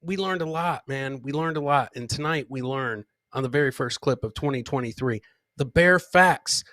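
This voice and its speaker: A man's voice: medium pitch, normal speed, pushed and bright, no echo. A man hosting a comedy podcast, casually commenting on clips in a boisterous, slangy way with repeated catchphrases.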